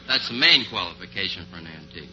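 A man's voice: low voice, animated, fast talker, over music. A man answering as a radio quiz contestant, explaining things earnestly in rather formal wording. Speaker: contestant, antique dealer. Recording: dull old-time radio recording.

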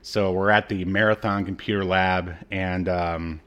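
A man co-hosting a podcast, giving a casual, informal recap in a halting storytelling manner. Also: low voice, steady tone, fairly bright tone, muffled recording. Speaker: podcast co-host. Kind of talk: casual episode recap chat.

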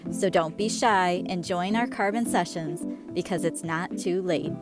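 A woman's voice: lowish voice, unhurried. A woman with a Canadian accent reading a scripted podcast introduction as its host. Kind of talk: scripted narration. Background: music.

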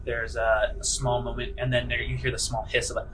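A man narrating a tabletop game as game master, improvising descriptions in a conversational, halting way. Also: mid-range voice, monotone, fairly bright tone, slight background noise, rough recording.

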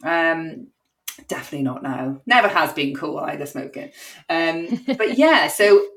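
A talkative woman with a strong Cardiff accent, her voice sitting a little low for a woman. She tells her story in a loose, chatty way, full of ums and quick asides, co-hosting a podcast. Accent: Welsh, Cardiff. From Wales. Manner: chatty, lively, laughs often, many fillers. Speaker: podcast co-host. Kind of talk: casual storytelling chat.

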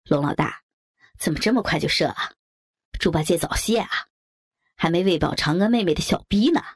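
A woman's voice: lowish voice, animated, a little fast, dry recording, studio quality, pitched below the performer's own voice. A woman voicing crude, leering old men with coarse, jeering banter in an audiobook story. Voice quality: gravelly, husky.

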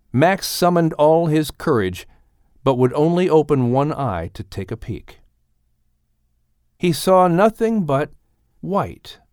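A man narrating an audiobook in a measured, expressive, suspenseful storytelling style. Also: a little high, no room sound, studio quality. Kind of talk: dramatic scripted story narration.

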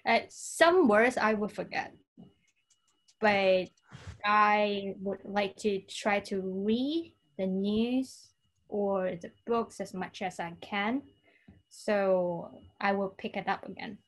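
A woman from China, a native Cantonese speaker, talks as a podcast guest with a Chinese accent. Her pace is slow and her pitch is average. She explains things conversationally, often repeating words as she goes. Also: low-quality recording. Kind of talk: conversational interview answers.

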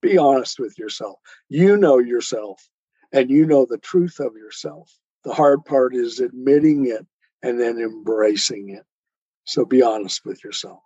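A man's voice: mid-range voice, measured pace, warm tone, breathy edge, dry recording. A man speaking as a podcast guest, giving conversational interview answers on a dull, muffled recording. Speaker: podcast guest, author and poet.

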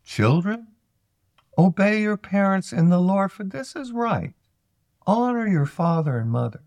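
A man reciting scripture from a text in a measured, emphatic way, phrase by phrase. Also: sits high, lively intonation, a little mellow, dry recording. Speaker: scripture reader. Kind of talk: scripted Bible reading, recited aloud.

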